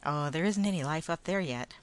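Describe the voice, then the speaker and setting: A woman's voice: deep voice, fairly quick, lightly acted. A woman doing a patient, kindly father.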